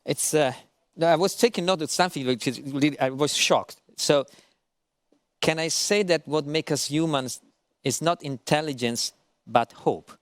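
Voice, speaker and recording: Male moderator, animated and playful, halting phrasing, close dry mic. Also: a little high, no room sound. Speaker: moderator, professor. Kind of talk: moderating a panel.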